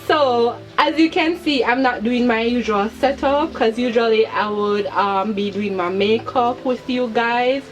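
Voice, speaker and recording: Casting: young woman channel host, fairly high voice, hyper and giggly, repeats words for emphasis, music underneath. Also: a little slow, hardly any pauses, rough recording. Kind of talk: chatty personal commentary to viewers.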